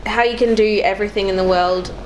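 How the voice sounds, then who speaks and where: A woman's voice: mid-range voice, fairly even intonation, fast talker. A young woman chatting casually about video games as a guest on a show. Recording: clearly noisy background.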